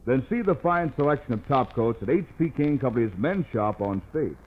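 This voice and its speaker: A man's voice: medium pitch, animated, fairly quick, slight background noise. An American man reading a scripted 1950s radio commercial as an announcer. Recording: thin, phone-like 1950s radio recording.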